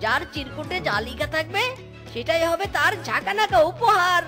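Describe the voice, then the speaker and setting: A woman's voice: animated, moderate pace. A woman voicing a scheming, whiny fox husband in a cartoon story, with exclamatory, slangy delivery. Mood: excited, coaxing. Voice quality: high-pitched cartoon voice. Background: music.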